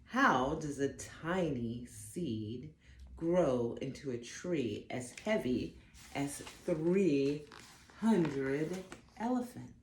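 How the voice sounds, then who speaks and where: Woman, children's storybook read-aloud. Low, mellow voice, expressive delivery, roomy sound. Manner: slow, expressive, deliberate phrasing for young listeners. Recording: roomy echo, faint background noise.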